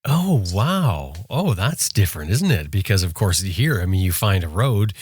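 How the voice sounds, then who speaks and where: A male podcast host with a fairly low voice asks questions and cuts in with short, eager reactions. His audio is dry and close. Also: big pitch swings, normal speed, a little mellow, slightly rough, no room sound. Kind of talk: casual interview conversation.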